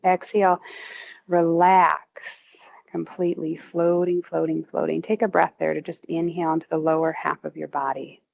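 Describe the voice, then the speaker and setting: A woman guiding a relaxation practice, giving slow, soothing cues to tense and release muscles, her voice climbing with emphasis on each tensing cue. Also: lowish voice, vocal fry, phone-quality sound. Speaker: movement instructor. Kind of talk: guided progressive muscle relaxation.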